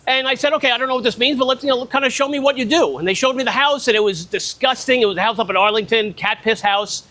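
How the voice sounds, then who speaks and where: A man with a loud voice giving a casual, blunt talk at an investor meetup, speaking without a microphone in a restaurant. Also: extremely high pitch, quick pace. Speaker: guest speaker on private lending. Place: restaurant event space in the rain.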